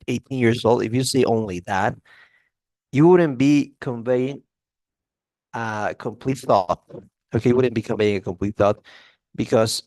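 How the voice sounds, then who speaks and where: A man's voice: mid-range voice, a little mellow, no room sound, rough recording. A male English teacher giving a classroom grammar lesson, often checking in with "okay?" and restarting phrases.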